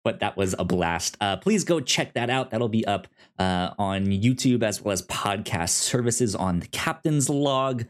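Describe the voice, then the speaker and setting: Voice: mid-range voice, lively intonation, unhurried, hardly any pauses. A podcast host chatting informally and giving announcements in a conversational way. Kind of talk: casual podcast chat with housekeeping announcements.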